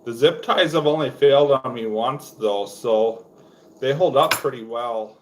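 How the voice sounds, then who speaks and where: A young man from Wisconsin talks in a low, relaxed voice, filling his sentences with "like" as he rambles. He sounds mid-pitched for a man, chatting to viewers on a phone livestream from home. A faint hum of noise sits behind him. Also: measured pace. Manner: rambling, relaxed, lots of "like" fillers. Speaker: fishing livestreamer. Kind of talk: casual livestream chat while crafting lures. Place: indoors at home.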